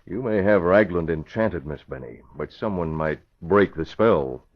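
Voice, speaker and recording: An actor playing a cautious, authoritative young newspaper publisher in an old radio crime drama, deliberate and businesslike, with a husky voice. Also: male voice. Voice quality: low, husky. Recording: muffled old radio recording.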